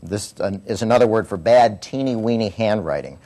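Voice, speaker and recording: A comedy writer reading a prepared talk to an audience, wry and deadpan with comic timing. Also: male voice, fairly low pitch, a little fast.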